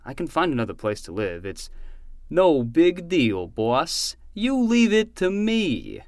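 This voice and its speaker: A man playing a protective, plain-spoken uncle in a fiction podcast, gentle and reassuring though exasperated. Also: a little high, very animated, takes it slowly, no echo, clean studio sound, full character voice. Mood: reassuring, rueful.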